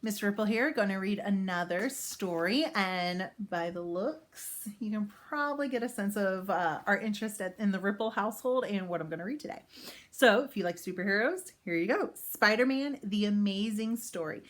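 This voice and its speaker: Animated woman reading a children's book aloud. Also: mid-range voice, normal speed. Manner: expressive, with explanatory asides for young listeners. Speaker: read-aloud storyteller.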